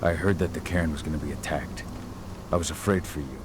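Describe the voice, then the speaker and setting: An actor playing a gruff, brooding werewolf fighter and protective father in a video game cutscene. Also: rumbling low, steady tone, brisk, music in the background. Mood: guarded, subdued.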